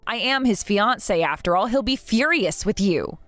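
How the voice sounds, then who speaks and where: A woman in her thirties voicing a patient, polite bride-to-be who speaks in a soft, measured, restrained way. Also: mid-range voice, animated, no echo, close to an everyday voice. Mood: hurt. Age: 30s.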